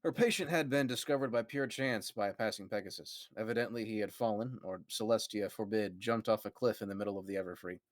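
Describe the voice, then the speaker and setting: A man's voice: fairly low pitch, fast, hardly any pauses, no room sound. A man reading a story aloud as narrator for a group, with amused asides to friends.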